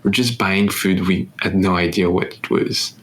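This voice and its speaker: A man's voice: low voice, fairly even intonation, normal speed, fairly bright tone. A young French man in his twenties, whose first language is French, co-hosting a podcast in English with a French accent, hesitant and searching for words. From France. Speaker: podcast co-host. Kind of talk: casual chat.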